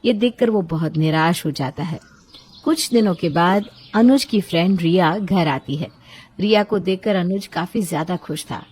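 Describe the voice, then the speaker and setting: A woman's voice: fairly low pitch, moderate pace, a little mellow, breathy edge. A woman storyteller reading a scripted bedtime story as its narrator.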